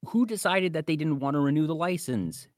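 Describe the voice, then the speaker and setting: A man's voice: fairly high pitch, expressive, a little fast, no echo, clean studio sound. A man co-hosting a podcast, talking casually about fandom in long, detailed explanatory asides. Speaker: podcast co-host.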